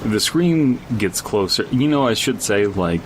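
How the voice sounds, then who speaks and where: A man co-hosting a podcast, chatting casually and reading a horror story aloud with halting, rambling commentary. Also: expressive. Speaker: podcast co-host. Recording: rumbling background noise throughout.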